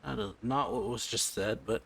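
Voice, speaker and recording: A man's voice: mid-range voice, vocal fry, fairly bright tone, breathy edge. A man reacting casually to a game cutscene with short, wry murmured comments. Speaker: reaction channel host.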